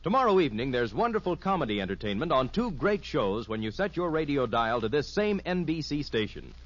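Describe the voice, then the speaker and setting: Male vintage radio promo announcer, emphatic and polished, highly varied pitch, muffled 1950s broadcast sound. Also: big pitch swings, fairly quick. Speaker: network announcer. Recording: dull old radio recording.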